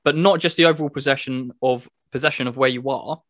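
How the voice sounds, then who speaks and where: A man's voice: mid-range voice, fairly even intonation, quick pace, fairly bright tone, dry recording, studio quality. A man co-hosting a gaming podcast, chatting casually and explaining things step by step. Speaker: podcast co-host reviewing a video game. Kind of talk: casual chat.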